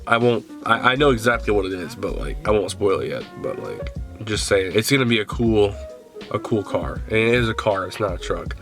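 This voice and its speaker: A man's voice: mid-range voice, a bit bright. A young man with a stuffed-up, congested voice, rambling casually and swearing now and then as he walks around his truck for a vlog. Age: young adult. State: stuffed up from a cold. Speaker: car vlogger. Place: outdoors in a driveway by a truck.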